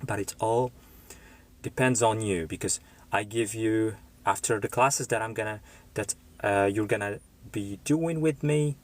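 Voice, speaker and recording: A man hosts his first live stream as an English tutor. His voice sits low and his delivery is slow and unhurried, full of small pauses and fillers as he reads the chat and greets viewers by name. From Morocco.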